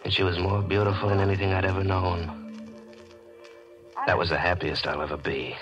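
An actor playing a possessive, guilt-ridden gambler with an intense, hard-boiled manner in a 1940s radio mystery. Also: male voice, low voice, level tone, fairly quick, highs cut off, lightly acted. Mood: somber narration. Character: possessive, guilt-ridden gambler narrating his panicked downfall. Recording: vintage radio broadcast with music behind.

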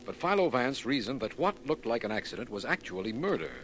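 Earnest American prosecutor, medium pitch, lively delivery, formal, insistent, old radio mystery, slight background noise. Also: male voice, fairly quick. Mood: formal, narrating. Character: brisk, skeptical male district attorney, official and earnest. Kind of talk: 1940s radio mystery acting and narration. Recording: faintly noisy vintage radio recording.

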